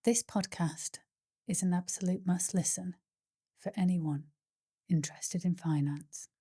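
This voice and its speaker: A woman putting on a soft, hushed hypnotherapist voice. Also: on the low side, steady tone, a little slow, slightly rough. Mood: soothing. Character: soothing female hypnotherapist voice.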